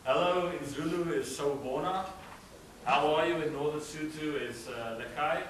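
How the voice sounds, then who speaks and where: A man's voice: on the high side, moderate pace, a little hiss or hum. A man from South Africa with a South African accent, a native Afrikaans speaker, giving a hesitant slideshow presentation at a cultural event. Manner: relaxed, hesitant with frequent uh fillers. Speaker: student presenter. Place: event hall. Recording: roomy hall, mic at a distance.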